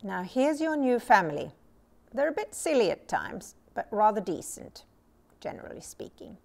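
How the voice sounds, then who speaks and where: Brisk, frank storybook creature, voiced by a woman. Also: medium pitch, very animated, gravelly, darkish tone. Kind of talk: storybook character reading.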